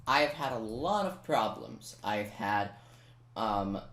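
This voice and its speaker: A man giving casual, self-mocking and playfully boastful commentary over his own gameplay. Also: lowish voice. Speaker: gaming video maker. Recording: roomy, noisy home recording with keyboard clicks.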